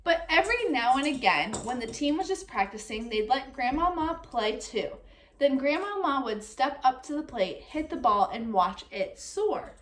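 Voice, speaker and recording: A woman reading a picture book aloud like a teacher, expressive and speaking directly to young listeners. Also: high-pitched, moderate pace, a little hiss or hum. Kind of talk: read-aloud storytelling with comprehension questions.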